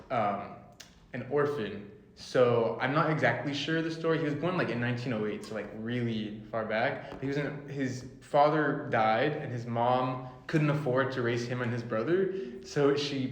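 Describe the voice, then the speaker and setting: A man raised in Texas telling his family history in a casual, quick way. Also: medium pitch, reverberant, rough recording. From Los Angeles, then Texas. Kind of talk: documentary interview.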